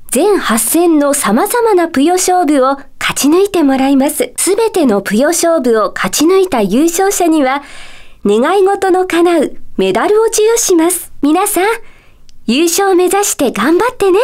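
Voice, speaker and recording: A gentle lady teacher from a magic school for a Japanese video game. Her voice is high and sweetly composed. She speaks politely, like someone addressing young pupils, with a playful, coy touch. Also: very animated, measured pace, full character voice. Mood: cheerful, encouraging. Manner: polite, soft-spoken teacherly phrasing with playful coyness. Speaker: voice actor.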